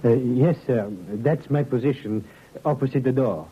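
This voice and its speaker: An actor playing a meek, nervous cook who answers with hesitant, deferential stammering in a vintage radio drama. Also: male voice, medium pitch, normal speed, husky, natural voice. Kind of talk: 1950s radio drama acting. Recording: thin, narrow old radio recording.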